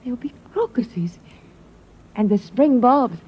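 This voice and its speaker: A woman playing a distraught, delusional mother in an old-time radio drama, halting and dreamy. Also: fairly high pitch, lively intonation, breathy edge. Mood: wistful, restless. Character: distraught, delusional mother longing for the lost sun. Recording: dull vintage radio broadcast.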